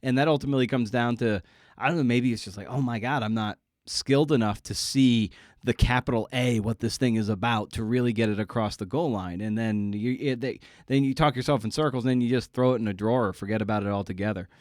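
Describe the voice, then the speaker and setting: A man's voice: medium pitch, darkish tone, no room sound. American man, dry close-sounding casual interviewer. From Massachusetts, United States. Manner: halting, repeats words, builds analogies. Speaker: podcast host interviewing a writer.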